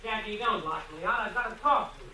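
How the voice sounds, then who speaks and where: Distant and echoing on a noisy old radio recording, a man plays a pleading husband. His voice is high and sharp, urgent with apology and coaxing. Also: quick pace, natural voice. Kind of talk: 1950s radio drama acting.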